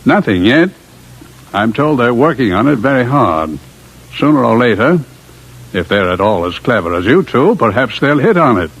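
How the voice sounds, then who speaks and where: Suave older consul, husky, swooping pitch. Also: male voice, big pitch swings, normal speed, a bit bright. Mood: patient, explaining. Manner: suave, courteous, hesitant, formal. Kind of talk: 1950s radio drama acting. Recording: muffled vintage radio broadcast.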